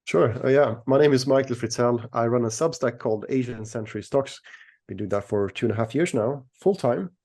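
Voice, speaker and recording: A middle-aged man from Sweden speaks as an interview guest, explaining things conversationally. His voice is mellow and relaxed, sitting at a medium pitch. He picks his phrasing with care and often doubles back on a word. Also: brisk. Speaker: interview guest, equities analyst and newsletter writer.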